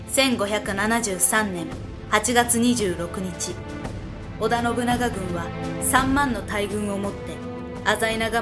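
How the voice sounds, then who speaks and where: A woman narrator delivering scripted, dramatic narration for a historical audio drama. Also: mid-range voice.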